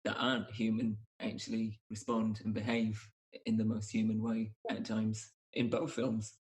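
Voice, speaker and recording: A man's voice: on the low side, monotone, moderate pace, poor audio. Man hosting a casual film chat. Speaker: podcast host.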